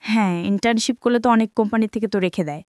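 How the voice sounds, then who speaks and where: A woman's voice: a little high, rapid-fire, darkish tone, no echo, clean studio sound. A young woman with a Bangladeshi accent telling her own life story conversationally, relaying remembered dialogue as she goes. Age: young adult.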